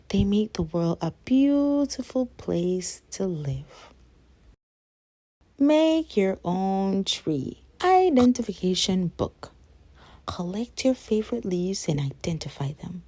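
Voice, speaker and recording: Slow, lively woman reading to children. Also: mid-range voice, dry recording. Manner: measured, expressive storytelling for young children. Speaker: children's book reader. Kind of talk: read-aloud of a children's nonfiction picture book.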